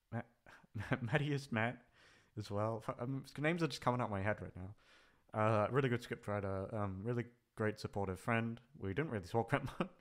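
A man chatting casually in a rambling, hesitant way, swearing freely as he goes. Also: fairly low pitch. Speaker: ASMR voice actor, channel creator. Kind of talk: rambling casual farewell chat.

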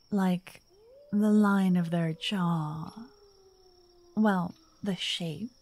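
Casting: wealthy vampire authoress played by a woman for ASMR roleplay; theatrical, doting tease, unhurried, mellow, breathy half-whisper. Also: on the low side. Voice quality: soft, breathy, often whispered. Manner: teasing, dreamy, old-fashioned wording. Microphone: close, dry microphone.

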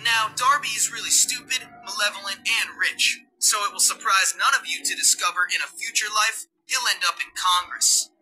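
An actor voicing a cocky, sarcastic teenage schoolboy in a video game, with dry wisecracks. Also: male voice, sits high, normal speed, hardly any pauses, sharp tone, faint music behind, rough recording. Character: cocky teenage boy, sarcastic new student. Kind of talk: video game cutscene acting and narration.

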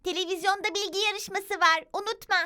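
A woman's voice: very high-pitched, steady tone, brisk, bright, forceful tone, soft background music. A woman voicing a sweet, curious little child in a children's cartoon, enthusiastic and friendly. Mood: curious, delighted.

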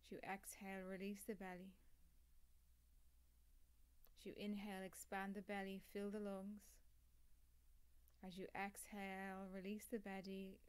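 A woman leading a guided meditation as a yoga nidra teacher, calm and soothing, with measured phrases, long pauses and a soft voice that turns breathy at phrase ends. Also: mid-range voice. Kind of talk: guided meditation, scripted instruction.

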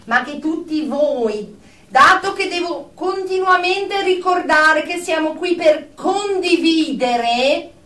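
Actress as a stern, slow-talking, high-voiced therapist woman. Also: animated, fairly bright tone, full character voice. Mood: coldly formal. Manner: icily formal, sarcastic, deliberate. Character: stern, exasperated female group therapist. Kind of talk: comic radio drama dialogue. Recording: echoey room, distant mic.

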